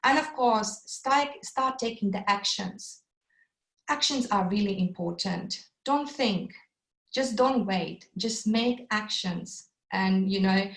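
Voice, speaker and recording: Latvian-accented woman pitching over a video call. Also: roomy sound, low-quality recording. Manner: enthusiastic, with frequent um and uh fillers. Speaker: webinar presenter. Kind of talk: online sales presentation.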